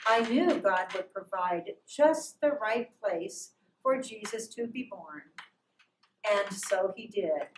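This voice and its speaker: A woman narrates a scripted devotional story for a puppet show, reading aloud in measured short phrases. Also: mid-range voice, animated. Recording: echoey room from far off, noisy.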